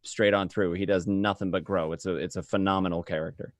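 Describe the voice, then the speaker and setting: A man's voice: low-pitched, steady tone, fairly quick, no room sound. A man hosting a casual panel interview in an enthusiastic, joking manner. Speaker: show host.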